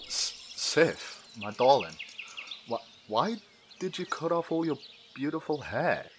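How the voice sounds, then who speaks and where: A man's voice: mid-range voice, big pitch swings, takes it slowly. A man playing a big, dim, hot-tempered thunder god in an audio drama, blustering and menacing. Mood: stunned. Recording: noticeable background noise.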